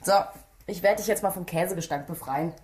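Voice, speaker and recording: A woman's voice: lowish voice, a little fast, a little mellow, a little hiss or hum, lightly acted. A woman acting a frank, teasing police detective in a radio drama, casual and direct. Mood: matter-of-fact.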